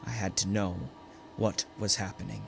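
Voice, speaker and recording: A man's voice: fairly low pitch, fairly even intonation, moderate pace, creaky. A man playing a frightened, brooding condemned prisoner, narrating his ordeal theatrically in a first-person audio drama. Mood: tense, grim. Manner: theatrical, anguished, swelling from hushed to urgent. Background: music.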